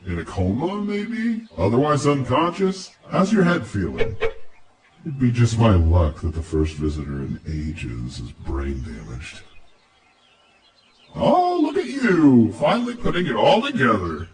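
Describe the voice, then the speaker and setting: A man's voice: medium pitch, huge pitch swings, measured pace, a little mellow, far from the mic. A man voicing a cocky, teasing androgynous dream spirit guide with a sardonic, playful, theatrical manner. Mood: playful, smug. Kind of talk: character voice acting.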